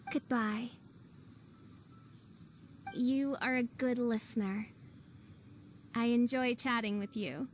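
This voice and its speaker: A teenage girl with gothic princess airs, high-voiced and melodramatic. She speaks slowly in an anime game style, with faint ambience behind her. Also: fairly even intonation, a little hiss or hum. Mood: sincere, regretful. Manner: pompous, melodramatic, archaic diction.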